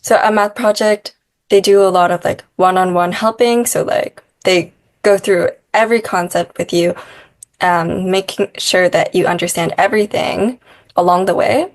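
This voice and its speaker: A woman's voice: lowish voice, fairly even intonation, moderate pace. A young woman math tutor answering interview questions in a casual, chatty way, sprinkling in lots of "like" and "um". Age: young adult. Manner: chatty, many "like" fillers.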